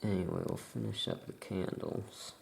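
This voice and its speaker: A soft-spoken ASMR host murmuring thoughts aloud in halting short phrases, close to the microphone, while writing. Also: low voice, fairly even intonation, creaky, a little hiss or hum. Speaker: ASMR host playing a witch shopkeeper.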